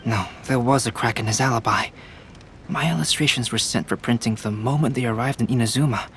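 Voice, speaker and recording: A man playing a calm, reserved young scholar in a video game, speaking in a measured, formal, thoughtful way. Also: medium pitch, slight background noise, lightly acted. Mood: probing, logical. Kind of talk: video game character voice acting.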